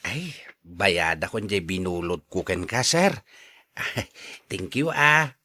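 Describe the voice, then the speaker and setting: A man's voice: sits low, sing-song, slow, sharp tone, no echo, full character voice. A man acting a grateful, deferential janitor in a radio drama, with a friendly manner.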